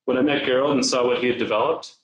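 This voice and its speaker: An upbeat man who is a sales executive opens a business presentation with enthusiasm. His voice sits at a middle pitch. The recording has a noticeable roomy echo. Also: steady tone, quick pace.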